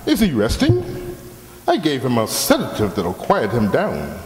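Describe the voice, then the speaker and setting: Male actor as blithe surgeon, radio comedy. Glib, extremely swooping pitch, reverberant hall. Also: huge pitch swings, a little slow, darkish tone. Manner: breezy, glib, reassuring. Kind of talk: old-time radio comedy acting. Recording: echoey hall, long reverb, background noise.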